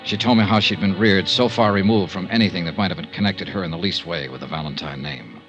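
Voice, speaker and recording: An actor with an American accent playing a smooth, tough but tender insurance investigator in a 1950s radio drama, with measured, clipped delivery. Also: male voice, deep voice, fairly bright tone, soft background music. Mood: matter-of-fact narrating. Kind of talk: 1950s radio detective drama acting and narration. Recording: dull 1950s radio recording.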